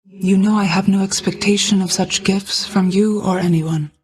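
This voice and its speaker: A woman's voice: mid-range voice, steady tone, darkish tone, lightly acted. Stately, solemn goddess of night, voiced by a woman. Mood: gentle, solemn. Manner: formal, measured, stately, archaic phrasing. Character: regal, ageless goddess of night, motherly and solemn. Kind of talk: scripted video game voice acting.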